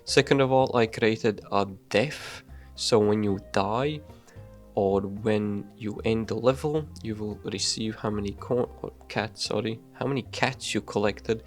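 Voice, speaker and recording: Offhand, swearing young game dev. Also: male voice, fairly low pitch, soft background music. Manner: loose and offhand, frequent swearing and fillers; self-deprecating, hurried. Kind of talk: casual voice-over devlog narration.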